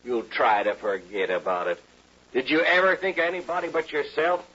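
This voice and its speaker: An actor playing a plain-spoken, fiercely loyal frontier bartender with folksy speech in an old-time radio western. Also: male voice, mid-range voice, steady tone, fairly quick, sharp tone, slightly rough. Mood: indignant. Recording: dull old radio recording.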